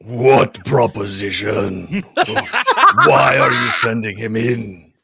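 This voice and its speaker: A man's voice: lowish voice, slow pace, vocal fry, crowd noise. A man voicing a greedy, scheming alien crime-lord king in an imperious, mocking way at a comedic table read. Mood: suspicious, indignant. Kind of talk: comedic live table read of a fan script.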